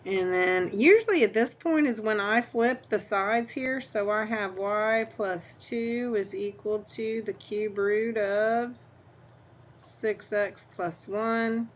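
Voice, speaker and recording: A woman teaching math in a recorded lecture, explaining each step patiently, with a stuffy nose and clearing her throat now and then. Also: mid-range voice, slow pace. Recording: dull lo-fi audio with faint hum.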